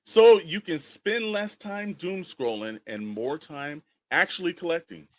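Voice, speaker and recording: A man's voice: on the high side, measured pace, no room sound. A man co-hosting a podcast with casual banter and easygoing ad reads. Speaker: podcast co-host.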